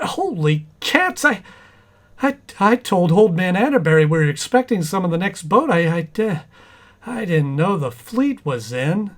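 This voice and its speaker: A man acting a doting, excitable, stammering husband in a 1940s-style radio comedy. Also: high-pitched, a little slow, a little hiss or hum. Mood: flustered, amazed.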